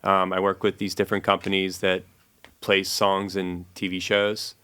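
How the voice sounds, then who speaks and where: A man, a guest musician and producer, telling stories in a relaxed, casual interview chat with many fillers. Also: low voice, moderate pace, clean tone.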